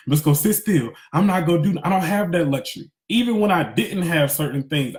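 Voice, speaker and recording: A man's voice: on the high side, a little mellow. A man in his thirties giving a casual, emphatic spiritual pep talk in candid slangy speech, repeating phrases for emphasis. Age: 30s. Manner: conversational street-smart slang, candid. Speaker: motivational speaker. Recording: echoey, roomy sound.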